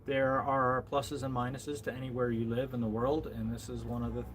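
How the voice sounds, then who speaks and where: A man's voice: mid-range voice, little pitch movement, fairly quick, background noise. An American man hosting a casual livestream chat, rambling with lots of ums and restarts. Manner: rambling, many fillers and restarts. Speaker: livestream host.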